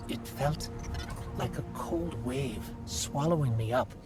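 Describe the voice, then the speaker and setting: A man voicing a small, loyal robot companion in a video game, earnest, gentle and confiding. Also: mid-range voice, takes it slowly, over loud music, close to an everyday voice. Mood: uneasy, reflective. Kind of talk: video game cutscene acting.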